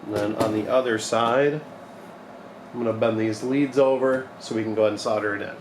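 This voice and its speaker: A man's voice: on the low side, fairly even intonation, fairly quick. A young man giving an informal hands-on repair tutorial, explaining step by step in a plain-spoken way. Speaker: repair technician demonstrating a modification. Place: small cluttered electronics repair workshop, indoors. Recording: echoey room with clear background noise.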